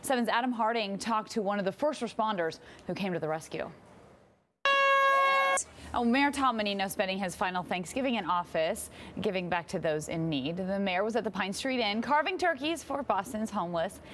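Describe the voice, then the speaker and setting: A woman's voice: medium pitch, animated, moderate pace. American woman anchoring morning news, noisy background. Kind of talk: morning news presenting with light banter.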